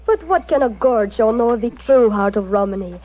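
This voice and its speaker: Casting: proud, wistful fortune-teller, high-ish husky voice, solemn and dramatic, dull vintage radio sound. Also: female voice, animated. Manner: solemn, dramatic, deliberate phrasing. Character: mysterious Romani fortune-telling woman, musical, proud and wistful. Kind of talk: 1940s radio acting. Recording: dull, muffled 1940s radio recording.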